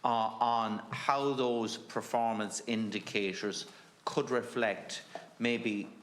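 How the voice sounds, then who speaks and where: A man chairing a parliamentary committee, speaking in a measured, formal way. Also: lowish voice, a bit bright, slight background noise. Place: committee room.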